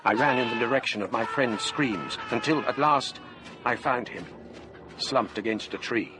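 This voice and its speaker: An actor playing an educated English gentleman who narrates a ghost story in measured, formal radio-drama style with an English accent. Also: medium pitch, heavy background noise, natural voice. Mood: mounting dread.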